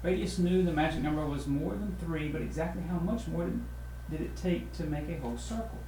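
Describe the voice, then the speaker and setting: A man narrates a children's storybook in the role of a teacher. His tone is soft-edged and mellow. The recording has clear room echo and noise behind it. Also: a little high, brisk. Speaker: teacher reading a storybook aloud. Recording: roomy echo with noisy hiss.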